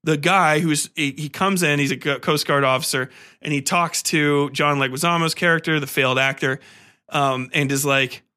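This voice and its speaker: A man podcast host giving a casual, rambling film review, enthusiastic and profane, often halting and repeating himself. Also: on the high side, clear, resonant tone. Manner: animated, enthusiastic; profane, slangy.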